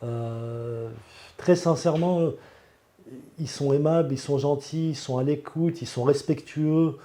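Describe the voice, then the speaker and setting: A man's voice: on the high side, a little slow, warm tone. A man, giving an unscripted testimonial as a patient in an earnest, emphatic way. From Lyon, France. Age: 40s.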